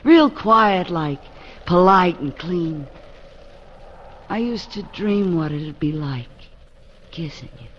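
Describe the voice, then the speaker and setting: A woman's voice: on the low side, animated, slow, long pauses. Tipsy, flirtatious frontier saloon woman. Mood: wistful. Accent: American. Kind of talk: old radio western acting. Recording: muffled old radio recording, faint hiss.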